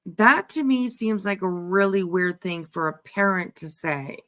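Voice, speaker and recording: A woman host giving casual reaction commentary, musing in a halting, repetitive, emphatic way. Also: medium pitch, lively intonation, fairly bright tone. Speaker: host giving commentary on a true-crime case.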